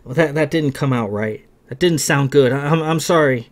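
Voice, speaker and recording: A man's voice: medium pitch, normal speed, lightly acted. A man reading a story, voicing a young man who pleads and apologizes in raised, stumbling bursts. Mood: pleading.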